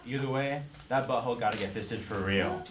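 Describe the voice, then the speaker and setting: Man as a fast, blunt guy. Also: medium pitch, natural voice. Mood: matter-of-fact. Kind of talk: live comedy table read. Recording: very noisy live stage.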